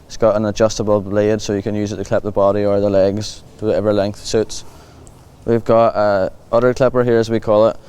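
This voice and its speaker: A man's voice: lowish voice, flat delivery, brisk, mellow. A man with a Northern Irish accent giving a plain, practical, reassuring explanation as he commentates on a hands-on demonstration. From Northern Ireland. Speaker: livestock clipping demonstrator. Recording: clear buzzing clipper and machinery noise.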